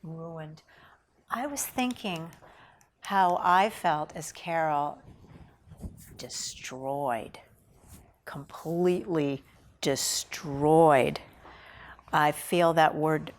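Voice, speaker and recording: Slow, low-voiced woman, earnest. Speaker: actor at a script table read. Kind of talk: casual script discussion.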